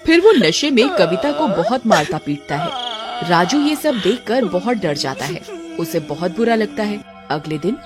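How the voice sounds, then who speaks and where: A woman's voice: medium pitch, sing-song, normal speed, music in the background. A woman narrator reading a scripted children's cartoon story.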